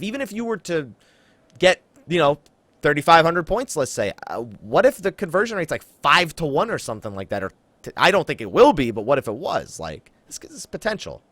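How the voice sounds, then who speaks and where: A man with a slightly high voice hosts a casual gaming podcast. His delivery is animated and swings widely in pitch, with playful teasing toward his co-host. The sound is dry and close. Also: no room sound.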